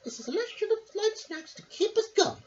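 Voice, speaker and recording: A woman's voice: extremely high pitch, animated, brisk, a little mellow, voice pushed up. A woman voicing a cheery, practical, eccentric lady surfer in a storybook reading, with exaggerated sing-song declaiming. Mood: cheery, decisive. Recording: muffled, hissy home recording.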